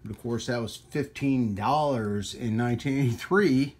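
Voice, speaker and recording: A man hosting a hobby radio channel in a casual, rambling hands-on demonstration, with folksy trailing asides and a husky voice. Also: mid-range voice, normal speed, darkish tone. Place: indoors at a radio workbench.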